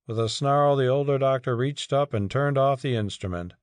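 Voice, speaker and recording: A man's voice: medium pitch, normal speed, clear, resonant tone, dry recording. A man narrating a dramatic audiobook from a script.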